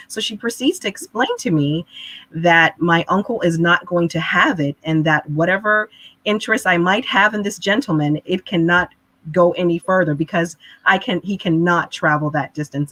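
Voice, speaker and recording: A woman's voice: on the low side, no room sound, rough recording. A woman guest on a panel, telling a family story in a chatty way with many restarts. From United States. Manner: chatty, animated retelling with restarts. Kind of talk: conversational storytelling.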